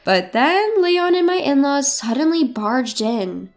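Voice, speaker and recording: A woman's voice: high voice, big pitch swings, slow. A woman reading a scripted first-person dramatic story as its narrator. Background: background music.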